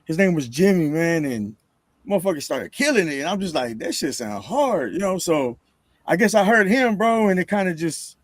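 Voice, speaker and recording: Male, thirties, slightly high pitch, dry recording; casual, profane, slang-heavy podcast storyteller. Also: expressive, normal speed, no room sound. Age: 30s. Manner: slangy, swearing, peppers talk with "bro" and "you know". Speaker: podcast guest, rapper. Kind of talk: casual chat.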